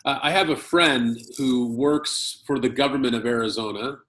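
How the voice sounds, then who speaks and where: An American man from Chicago telling a personal story at a conference, speaking in short phrases and pausing for his interpreter. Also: mid-range voice, fairly bright tone. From Chicago, United States. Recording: roomy echo.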